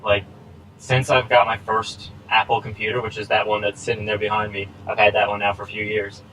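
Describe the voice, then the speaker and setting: A man's voice: lowish voice, completely flat delivery, brisk, fairly bright tone, slight background noise, poor audio. A man in his twenties co-hosting a casual podcast, rambling with plenty of fillers and laughs. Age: 20s. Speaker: podcast co-host. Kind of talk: casual chat. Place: small home setup. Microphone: laptop recording.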